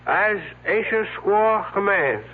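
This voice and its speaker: An actor playing a solemn, mystical villager in a 1970s radio drama, speaking in stilted, ceremonious phrases. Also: male voice, high voice, sing-song, takes it slowly, heavily acted. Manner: stilted, formal, ceremonious speech. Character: solemn mystical Native Mexican villager devoted to spirits. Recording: lo-fi tinny old radio broadcast.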